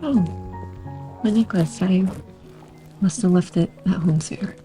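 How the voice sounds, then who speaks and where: A woman playing a guarded, tender young mother in an audio drama, speaking softly and hesitantly. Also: fairly low pitch, slow pace, low-quality recording. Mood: tender, anxious. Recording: music behind the voice. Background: music.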